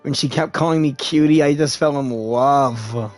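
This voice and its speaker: A man's voice: medium pitch, moderate pace, faint music behind. A man commentating over a game playthrough in a rambling, conversational way. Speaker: gaming video creator. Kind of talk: game playthrough commentary, reading dialogue aloud.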